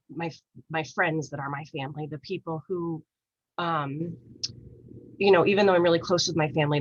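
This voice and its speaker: A woman with a low voice speaks on a panel, hesitant and earnest, often pausing on fillers. The sound is dry and close. It comes through muffled, lo-fi video call audio. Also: no echo. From Rochester area, USA. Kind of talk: personal storytelling in a panel conversation.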